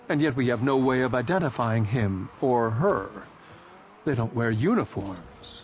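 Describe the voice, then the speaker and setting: A man's voice: mid-range voice, close to an everyday voice. A man reading aloud voicing a young woman soldier who argues her point insistently. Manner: insistent, argumentative.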